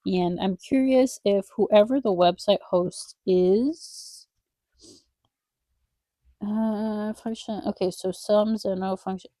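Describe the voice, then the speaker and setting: A woman's voice: medium pitch, dark tone, no room sound. A young woman vlogger with a congested nose, chatting casually and wistfully while drawing, rambling with lots of fillers.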